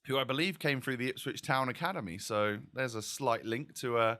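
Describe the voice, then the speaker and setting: A man's voice: mid-range voice, fairly quick, bright, forceful tone. A man hosting a gaming video with casual, chatty, rambling and self-deprecating commentary.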